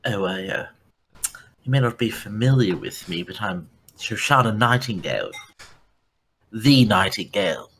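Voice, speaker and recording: A man voicing a showy, flattering female satyr performer in a tabletop game. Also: lowish voice, a little slow, pauses often, a little hiss or hum, pitched below the performer's own voice. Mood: boastful. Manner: smooth-talking, showy, fawning.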